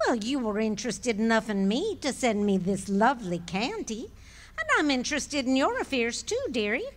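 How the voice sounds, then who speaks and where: A sweet, coaxing middle-aged wife from an old-time radio comedy. Her voice is a little high and lilts up and down as she teases her husband. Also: big pitch swings, normal speed. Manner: sweet, coaxing, says dearie. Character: patient, gently teasing middle-aged wife. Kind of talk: live 1940s-style radio comedy acting.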